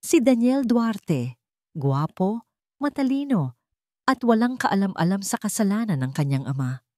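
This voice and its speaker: A woman narrating a scripted love drama with deliberate, emphatic phrasing. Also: medium pitch, sing-song, warm tone, dry recording, clean studio sound. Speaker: story narrator.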